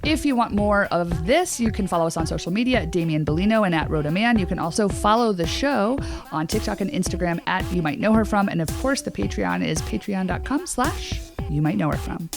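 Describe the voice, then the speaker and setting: A woman's voice: mid-range voice, expressive, fairly quick, gravelly, few pauses, over music. An American woman co-hosting a podcast, talking casually in a chatty, opinionated way with many a "like". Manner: chatty, opinionated, uses "like" often. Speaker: podcast co-host.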